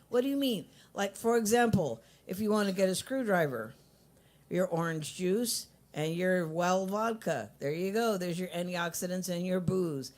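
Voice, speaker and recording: A woman with a low voice hosting a live open mic in a coffee bar, teasing and hyping the crowd. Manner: playful, teasing, hyped-up announcing. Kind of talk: live emcee patter.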